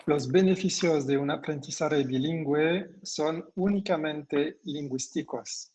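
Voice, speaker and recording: Male co-presenter for an online quiz segment, unhurried slow pace, halting delivery. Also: on the high side, darkish tone, slightly rough. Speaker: webinar co-presenter. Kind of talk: presenting quiz questions and answers.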